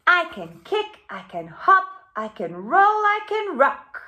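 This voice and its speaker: Woman voicing an eager, high-pitched storybook girl bear. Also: wildly swooping pitch, takes it slowly, bright, forceful tone, exaggerated voice. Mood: eager, boastful. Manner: exclaiming, dramatic, sing-song delivery. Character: eager, sensitive female cartoon bear who longs to perform. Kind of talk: children's storybook read-aloud.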